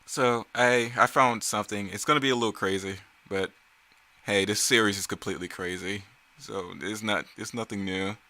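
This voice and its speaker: A man's voice: on the low side, steady tone, moderate pace, bright, forceful tone. A man giving casual, off-the-cuff creator commentary in a rambling, self-correcting way. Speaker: creator giving commentary on own motion comic series.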